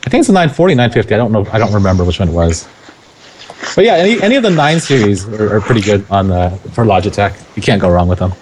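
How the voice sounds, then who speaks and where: A man's voice: lowish voice, very animated, fast, a little mellow, slight background noise. A man on a gaming podcast who jokes about himself and stumbles over his words. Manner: joking, self-mocking, stumbling over words. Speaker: podcast guest and streamer. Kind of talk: casual chat.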